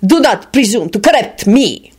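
A woman's voice: on the high side, sing-song, normal speed, pitched above the performer's own voice. A woman voicing a cold, haughty male vampire lord in a story, imperious and formal, with petulant indignation. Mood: icy command.

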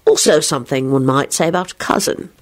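A woman voicing an earnest, wry young man in love, soft and understated, in a storybook reading. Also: deep voice, fairly quick, natural voice. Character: earnest, wry, smitten young man, playfully self-pitying.

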